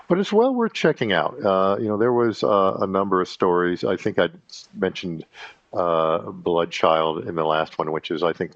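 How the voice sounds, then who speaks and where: Low-voiced rambling man, dull dry sound. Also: creaky, no echo. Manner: halting, many fillers and restarts. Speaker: podcast host. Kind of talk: casual rambling monologue.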